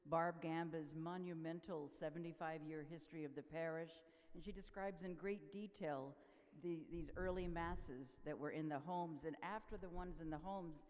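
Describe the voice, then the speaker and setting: A parish member speaks up during the Q&A in an informal, encouraging way, pointing the audience to a resource. The voice sits at a middle pitch. A long hall reverb surrounds every word. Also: fairly even intonation, normal speed. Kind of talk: casual announcement.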